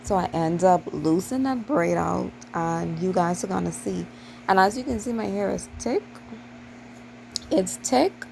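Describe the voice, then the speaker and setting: A Bahamian woman talks viewers through a hair tutorial in a chatty, repetitive way. Her voice has a gravelly fry to it, and clear background noise sits under the recording. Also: lowish voice, lively intonation, unhurried, a little mellow, poor audio. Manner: chatty, repeats herself, addresses viewers as you guys. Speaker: channel host.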